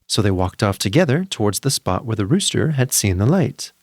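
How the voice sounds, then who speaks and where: A man's voice: on the low side, big pitch swings, a little fast, no echo, studio quality. A man narrating a scripted fairy tale as a storyteller.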